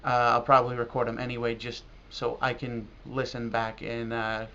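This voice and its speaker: An American man talking casually and reflectively in a video blog as a language learner, halting now and then with pauses. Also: mid-range voice, completely flat delivery, bright, forceful tone. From United States. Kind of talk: casual talk to camera about learning. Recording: dull lo-fi audio with clear noise.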